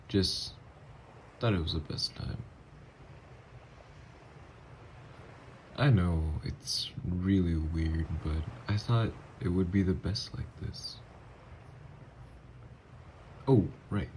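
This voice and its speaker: A man playing a gentle, haunted, newly-turned vampire lover in an ASMR audio drama, speaking in hushed, halting, hesitant phrases. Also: deep voice, creaky, a little hiss or hum, poor audio. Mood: tender, apologetic.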